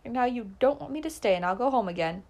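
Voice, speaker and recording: A woman's voice: on the high side, expressive, fast, a little mellow, voice pushed up. A woman voicing a determined, persistent young woman who talks in an earnest, coaxing way.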